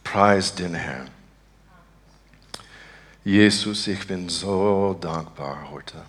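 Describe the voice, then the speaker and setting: Halting American preacher, slow, low-voiced man. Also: husky. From Texas, United States. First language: English. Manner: halting, searching for words in broken beginner German; earnest, devout. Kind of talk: informal sermon and spontaneous prayer.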